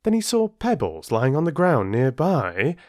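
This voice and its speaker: A man who reads stories aloud and chats to his listeners in a playful, expressive way. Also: mid-range voice, measured pace, no echo, studio quality. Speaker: audiobook narrator and channel host. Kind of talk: storybook narration and casual chat to listeners.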